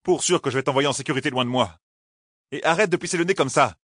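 A man's voice: on the high side, fast, a bit bright, no echo, pitched above the performer's own voice. Brusque young male hero for a fantasy audiobook, troubled, exasperated, close dry sound acted by a male narrator. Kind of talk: audiobook character dialogue.

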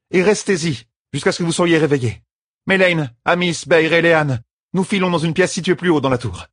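A man's voice: fairly high pitch, a bit bright, dry recording, studio quality, voice pushed up. A man voicing a determined young woman leader in an audiobook, urgent and authoritative.

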